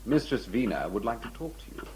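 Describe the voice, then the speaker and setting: An actor playing an obsequious, coolly sinister butler with stiffly polite, formal speech in an audio drama. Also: male voice, on the low side, big pitch swings, fast, darkish tone, slight background noise, low-quality recording. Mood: coolly insistent.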